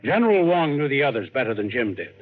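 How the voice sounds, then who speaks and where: A man's voice: mid-range voice, very animated, quick pace. A man narrating a suspense adventure story in scripted old-time radio style. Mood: tense, measured. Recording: tinny vintage radio recording with music.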